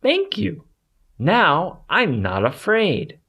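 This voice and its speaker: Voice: mid-range voice, huge pitch swings, extremely slow, a bit bright, slightly rough, pitched above the performer's own voice, heavily acted. A storybook narrator voicing a young, timid teddy bear hero with a polite, earnest manner. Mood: relieved.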